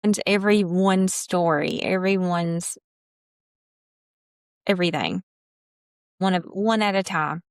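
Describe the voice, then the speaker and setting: A woman hosting a casual conversational interview, speaking haltingly and often repeating or circling back on her phrases. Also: fairly low pitch, smooth, dry recording, clean studio sound. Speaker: host.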